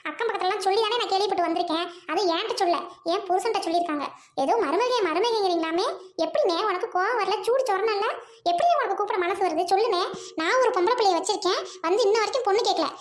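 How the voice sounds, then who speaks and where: Woman doing a shrill quarrelsome woman. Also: rapid-fire, roomy sound. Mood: angry, taunting. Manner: scolding, taunting, repeats demands to answer. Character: quarrelsome village woman, sharp-tongued sister.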